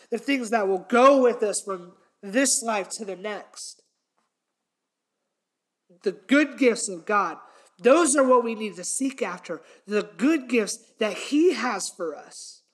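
A man's voice: extremely high pitch, fairly bright tone. A young man hosting his podcast, teaching a Bible passage in sermon style, earnest and emphatic, repeating his key points. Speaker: podcast host and preacher. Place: small indoor room with a red wall. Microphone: fixed camera, head and shoulders framing.

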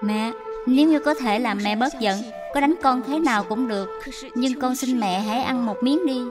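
A woman voicing a gentle, devoted young widow in a dubbed animated moral tale, speaking earnestly and deferentially. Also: on the high side, normal speed, natural voice. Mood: tender, pleading. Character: gentle, devoted young widow caring for her mother-in-law. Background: background music.

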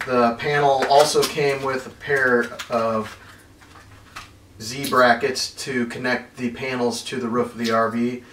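A man in his thirties chats plainly through a home unboxing, filling his pauses with ums. He is picked up by a camera well across a carpeted living room, so the sound is roomy and a little distant, with faint noise underneath. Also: medium pitch. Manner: relaxed and plainspoken, many ums. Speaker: RV owner reviewing gear. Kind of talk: unboxing review. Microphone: far camera.

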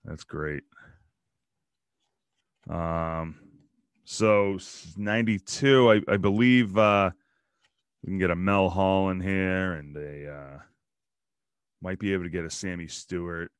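A man in his thirties hosting a casual solo show, rambling and joking as he opens card packs in a small home room on a boom mic. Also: takes it slowly, long pauses, smooth, no room sound, clean studio sound. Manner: rambling, joking asides about players. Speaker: podcast host opening baseball card packs. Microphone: studio mic on boom arm, headphones.

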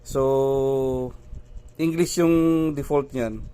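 Guy doing a chill how-to on a gadget, slow and step by step, lots of ums, normal mid voice. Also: medium pitch, fairly even intonation, warm tone, smooth. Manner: unhurried, explains step by step with many fillers. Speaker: tech vlogger. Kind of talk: casual how-to demonstration.

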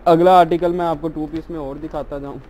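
A man's voice: fairly high pitch, steady tone, fairly quick, darkish tone, slight background noise. Eager young salesman in a shop. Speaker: shopkeeper showing suits. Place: large clothing shop with stacked shelves. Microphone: handheld mic held by the interviewer.

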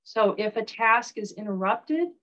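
A woman professor with a Southern US accent giving a recorded online lecture, relaxed, with frequent ums and uhs. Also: a little high, unhurried, lo-fi sound.

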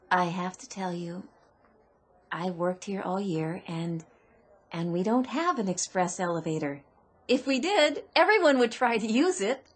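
A woman's voice: medium pitch, animated, a little slow, breathy edge. An actress playing a kindly, deferential female shop clerk in a radio drama, speaking hesitantly and soothingly. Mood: puzzled, apologetic.

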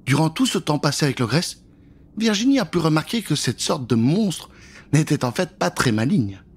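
A man's voice: on the high side, big pitch swings, moderate pace, slightly rough. A man in his thirties telling a children's story dramatically into a close studio microphone. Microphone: close studio microphone with pop filter.

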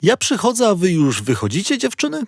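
A man's voice: sits high, wildly swooping pitch, fairly quick, vocal fry, breathy edge, no room sound, studio quality, pitched above the performer's own voice, full character voice. A man voicing a joking, sporty uncle with a playful, questioning manner in a children's audiobook. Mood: teasing.

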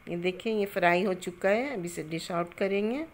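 A middle-aged woman hosting a cooking channel, calmly explaining a recipe step by step in a home kitchen, with homely greetings and blessings. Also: fairly low pitch, normal speed.

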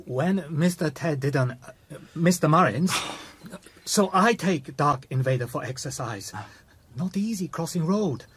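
An actor playing an anxious, deferential stable groom in a radio drama, speaking halting, clipped broken English. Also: male voice, fairly high pitch, expressive, a bit bright, slightly rough, exaggerated voice. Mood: nervous, deferential.

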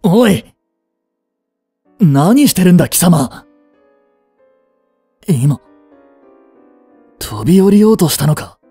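A cold young prince, acted by a man, speaks in a mellow, dark voice that moves widely in pitch. He is curt, haughty and commanding, with a protective streak underneath. The romantic audio drama is recorded dry and close. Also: sing-song, takes it slowly, long pauses, husky, no echo, soft background music, exaggerated voice. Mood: alarmed, angry. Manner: imperious, curt, scolding.

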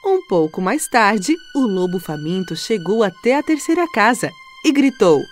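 A woman's voice: mid-range voice, sing-song, normal speed, soft background music. A woman storyteller giving scripted narration of a children's tale.

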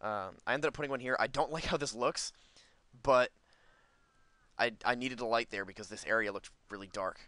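A man's voice: medium pitch, normal speed, sharp tone, no room sound. A man giving casual let's play commentary, rambling and thinking aloud as host of a gaming video.

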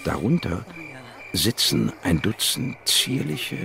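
An actor playing an inquisitive, wry male biologist, narrating in a measured, dry manner in a radio drama. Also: lowish voice, sing-song, creaky, over music, exaggerated voice. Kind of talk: scripted first-person radio drama narration.

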